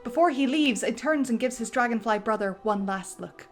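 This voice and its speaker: A woman's voice: on the high side, normal speed, faint music behind. Woman narrating a script with flair. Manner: vivid storybook narration. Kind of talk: dramatic script reading.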